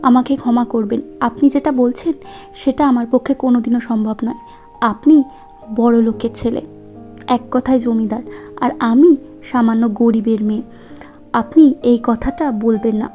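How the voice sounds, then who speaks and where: A woman's voice: fairly high pitch, steady tone, moderate pace, pauses often, warm tone, faint music behind, lightly acted. A woman playing a humble, sweet young village maid in a cartoon story. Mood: pleading, modest.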